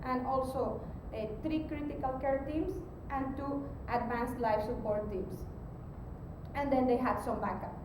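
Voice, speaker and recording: A woman giving an explanatory technical presentation as a conference speaker, pausing hesitantly mid-sentence. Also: fairly even intonation. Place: conference room, recorded from a distance. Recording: very echoey, distant mic, rumbling background noise.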